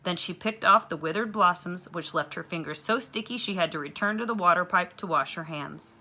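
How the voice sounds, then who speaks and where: Bright-voiced woman reading a story. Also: on the low side, a little fast. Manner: clear, expressive storytelling. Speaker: reader of a children's novel.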